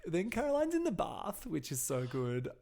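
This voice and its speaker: A man's voice: on the high side, wildly swooping pitch, moderate pace, creaky, a little mellow, slight background noise. An Australian man chatting in a camp, gossipy way on a TV recap podcast, laughing often. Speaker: podcast co-host. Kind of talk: casual gossipy recap chat.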